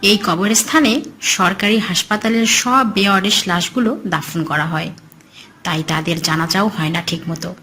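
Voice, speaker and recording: A woman's voice: fairly low pitch, a bit bright, a little hiss or hum. A woman voicing a blunt, scoffing, money-minded male graveyard night guard in a horror cartoon story.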